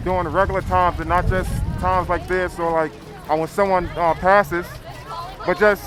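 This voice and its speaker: A man in his twenties from South Dakota, speaking hesitantly with many pauses. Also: high voice. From Aberdeen, South Dakota. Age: 20s. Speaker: protester, FedEx worker. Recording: crowd noise behind.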